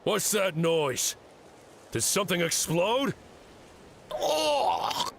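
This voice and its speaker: A man voicing an irritable, quarrelsome soldier henchman in a video game, loud and easily startled. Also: sits high, sing-song, very slow, vocal fry, slight background noise, heavily acted. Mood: alarmed, angry. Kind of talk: English video game voice acting.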